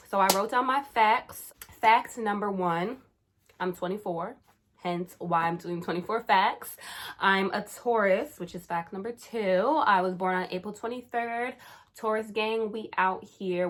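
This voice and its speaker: A woman's voice: medium pitch, a little slow. Young woman YouTuber, twenties, chatty and slangy, casual to-camera talk. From Caribbean, raised in New Jersey. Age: 20s. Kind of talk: casual vlog chat.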